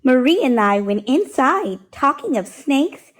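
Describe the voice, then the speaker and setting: A woman hosting storytime, reading a rhyming picture book aloud in an expressive, rhythmic, sing-song way. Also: sits high, unhurried.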